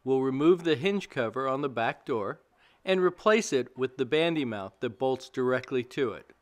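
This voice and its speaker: A man's voice: medium pitch, expressive, moderate pace. A man giving scripted how-to narration as the narrator of a DIY video, explaining plainly step by step.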